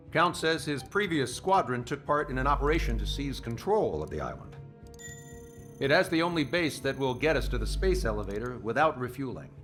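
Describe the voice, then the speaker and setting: A man plays a decisive squadron leader in a video game, briefing fellow pilots. His pitch sits in the middle range, with faint music behind him. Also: a bit bright, lightly acted. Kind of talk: video game cutscene acting.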